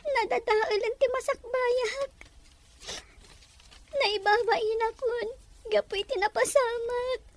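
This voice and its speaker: Woman playing crying young ilocano girl, super high voice, drags her words out, radio drama. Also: steady tone, takes it slowly, pauses often, full character voice. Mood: despairing. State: crying, sobbing. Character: tearful young woman pleading for belief after abuse.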